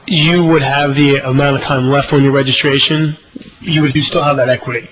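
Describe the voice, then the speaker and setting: A man's voice: medium pitch, fairly even intonation, fairly quick, background noise. An American man answering formally, off the cuff, as a government official at a hearing. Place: legislative committee hearing room.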